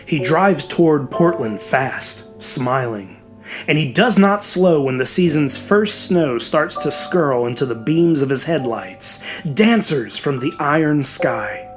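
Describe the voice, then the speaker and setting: A man reading a scripted horror story as a dramatic narrator. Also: a little high. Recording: music behind the voice. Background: music.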